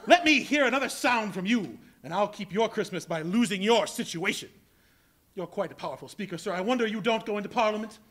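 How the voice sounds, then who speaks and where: An actor playing a bitter old miser in a live stage reading, speaking in a husky, clipped, scornful way in a large hall. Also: male voice, high-pitched, lively intonation, brisk, fairly bright tone.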